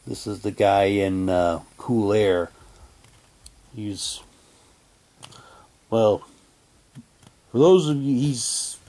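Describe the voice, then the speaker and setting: Slow-paced man, halting and casual. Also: fairly low pitch, a little mellow. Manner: halting, muttering asides to himself. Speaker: reviewer unboxing an audio drama's props. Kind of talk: casual chat, reading documents aloud.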